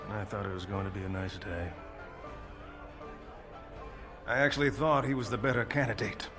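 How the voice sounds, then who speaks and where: A man's voice: lowish voice, natural voice. A man playing a wry, self-deprecating dwarf nobleman in a video game, with a casual, sardonic delivery. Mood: weary, disillusioned. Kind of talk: video game character acting.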